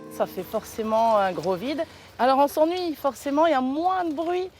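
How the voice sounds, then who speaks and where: A woman's voice: high-pitched, moderate pace, over music. A woman, a mother from Saint-Pierre-et-Miquelon, speaking reflectively and hesitantly in an interview.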